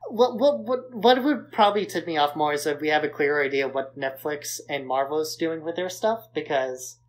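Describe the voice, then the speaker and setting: A man's voice: fairly high pitch, a little fast, slight background noise, rough recording. Casual, opinionated male podcast co-host. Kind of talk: casual film discussion podcast.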